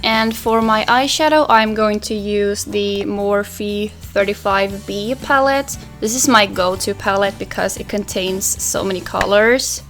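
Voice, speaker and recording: A young woman from Finland whose first language is Swedish chats easily through her makeup routine. She speaks at a mid pitch, soft and relaxed while she works, recorded close up in a small bedroom with faint music underneath. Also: measured pace, few pauses. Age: young adult. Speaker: beauty vlogger. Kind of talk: makeup tutorial with Q&A. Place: small pastel bedroom, indoors.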